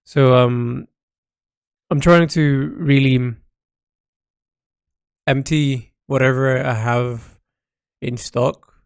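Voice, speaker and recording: A man's voice: medium pitch, steady tone, very slow, long pauses, clear, resonant tone, no room sound. Reflective, hesitant man in a dry recording. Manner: hesitant, thinking aloud with trailing phrases. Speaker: artist recording a personal audio log. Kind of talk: informal reflective audio diary.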